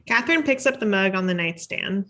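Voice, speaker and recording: A woman's voice: medium pitch, lively intonation, fairly quick, fairly bright tone. A woman reading stage directions as scripted narration at a table read.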